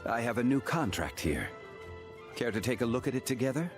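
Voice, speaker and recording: An actor playing a calm, ancient, dignified immortal man in a video game, speaking in a measured, formal, reflective way. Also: mid-range voice, music in the background, natural voice. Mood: calm, gracious. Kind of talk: scripted video game character voice lines.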